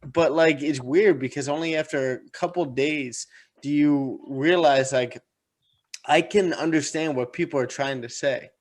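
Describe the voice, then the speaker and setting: A man's voice: a little high, measured pace. A young man hosting a live trading stream, chatting casually in a rambling way with many fillers. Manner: laid-back, rambling, lots of fillers. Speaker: forex trading stream host.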